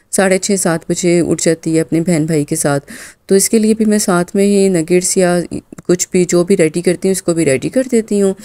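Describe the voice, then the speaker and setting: A hoarse woman from Pakistan in her thirties, talking through her morning as a mom vlogger. Her voice sits low and mellow, and she speaks in a loose, chatty way padded with filler phrases. The recording is close and dry. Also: dry recording. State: hoarse from a sore throat. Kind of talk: casual voice-over narration of a daily vlog.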